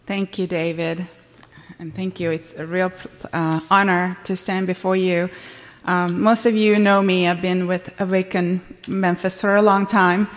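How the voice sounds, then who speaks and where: A woman's voice: on the low side, little pitch movement, unhurried, long reverb tail. A woman from Sri Lanka with a Sri Lankan accent, giving an earnest appeal for a ministry at a church event, with a few hesitations.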